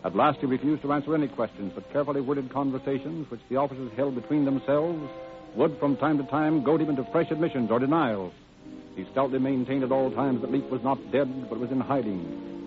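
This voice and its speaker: An actor as the grave, formal narrator of an old-time radio true-crime dramatization. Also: male voice, mid-range voice, a little fast, over music, close to an everyday voice. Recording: tinny vintage radio recording.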